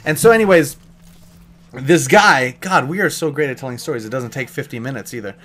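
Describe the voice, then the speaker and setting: A man's voice: medium pitch, a little fast, a bit bright. An American man telling a casual story in a rambling, teasing, joking way, like a vlogger.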